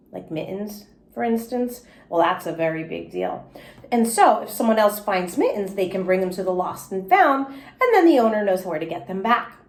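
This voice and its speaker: A woman's voice: fairly high pitch, darkish tone. A woman voicing a kindly male school principal from a storybook, explaining patiently and reassuringly to a child. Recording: echoey room.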